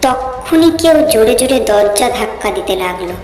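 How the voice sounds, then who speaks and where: A woman narrating a scripted animated horror story as its storyteller. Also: fairly high pitch, normal speed. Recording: echoey, long reverb, music behind.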